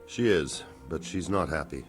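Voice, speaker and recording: A compassionate character in a medieval game with a very deep voice. He talks with sympathy and consideration over faint music. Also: expressive, moderate pace. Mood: sympathetic. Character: compassionate, apologetic medieval man. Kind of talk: video game cutscene acting.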